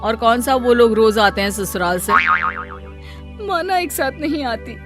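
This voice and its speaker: A woman's voice: fairly high pitch, big pitch swings, a little slow, soft background music. A woman voicing an idle, pampered young husband in a cartoon story, in a placating, evasive manner.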